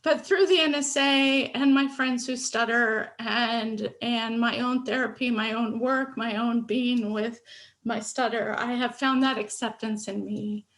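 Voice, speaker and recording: A woman's voice: on the high side, steady tone, unhurried, a bit bright, low-quality recording. A woman speech pathologist sharing her personal story on a video call panel, candid, stuttering now and then with fillers and restarts.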